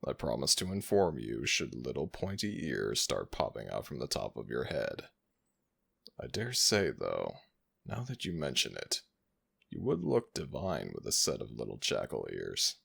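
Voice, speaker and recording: Man playing a jackal god, ancient, proud, teasing, husky, lively pitch swings, slow formal delivery, audio roleplay. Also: exaggerated voice. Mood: teasing, fond. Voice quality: gravelly, husky. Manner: slow, drawn-out, formal speech. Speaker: voice actor.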